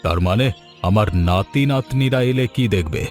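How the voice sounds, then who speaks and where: A man's voice: lowish voice, unhurried, darkish tone, soft background music, lightly acted. A man voicing a haughty older rich landlord in a cartoon, pompous and scornful. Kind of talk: scripted cartoon dialogue.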